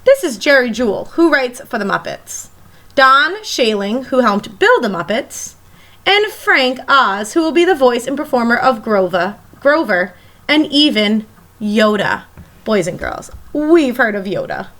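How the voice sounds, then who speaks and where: A woman teacher reading a picture book aloud to children in an expressive, emphatic storytelling manner. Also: a little high. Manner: animated, emphatic, pauses to question young listeners.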